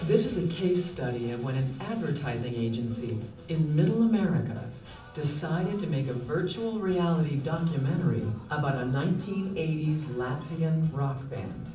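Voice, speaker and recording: A narrator reading a promotional case study in a smooth, even scripted delivery. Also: medium pitch, normal speed, recorded from a distance, soft background music. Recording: video played into an echoey hall, heard from afar.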